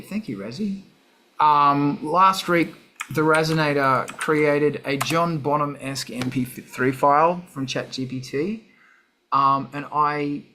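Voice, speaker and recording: A man's voice: fairly high pitch, bright, forceful tone. A man hosting a casual chat in a brash, sweary, self-mocking grumpy way. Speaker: host.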